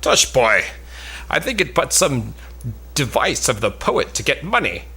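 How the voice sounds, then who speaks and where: A man playing a pompous, foolish braggart knight who declaims grandly in a comic Elizabethan stage play, with a rough tone. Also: mid-range voice, sing-song, unhurried, pushed and bright, heavily acted. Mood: haughty. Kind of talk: comic Elizabethan stage drama, cold read.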